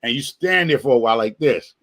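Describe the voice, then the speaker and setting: A man's voice: medium pitch, expressive, a little fast, a bit bright. A man, telling folksy stories in a casual group chat. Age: 50s. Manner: folksy, drawling storyteller. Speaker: chat participant.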